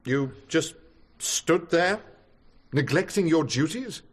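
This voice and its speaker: An actor playing a wry, needling defence barrister with an English accent and mock-polite, sardonic delivery in a radio drama. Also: male voice, fairly high pitch, lively intonation, takes it slowly. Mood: probing, sardonic. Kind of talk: BBC radio drama acting.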